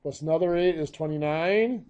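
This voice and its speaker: A hoarse, coughing man teaching a math lesson, walking through each step patiently. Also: a little high, normal speed, darkish tone, lo-fi sound, a little hiss or hum.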